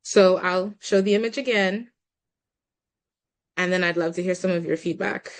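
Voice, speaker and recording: A woman's voice: lowish voice, fairly even intonation, moderate pace. A young woman from the Bahamas presenting slides in a webinar over a video call, politely guiding the audience through a poll.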